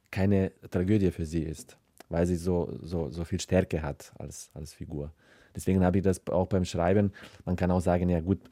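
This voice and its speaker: A writer gives a reflective interview in a deep, very mellow male voice. He gropes for words and doubles back on them, recorded dry and close. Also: no echo. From former Yugoslavia. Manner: hesitant, searching for words, repeats words.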